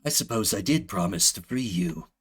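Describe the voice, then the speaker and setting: A man's voice: fairly low pitch, lively intonation, normal speed, breathy edge, pitched below the performer's own voice. A man voicing an aloof, irritable villainous creature from a video game, dry, curt and dismissive. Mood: smug, weary.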